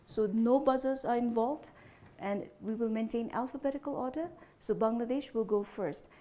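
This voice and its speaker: Chatty woman quizmaster, slightly high voice. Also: dark tone. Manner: chatty, frequent "you know" fillers, checks understanding with "okay?". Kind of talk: explaining quiz rules to contestants.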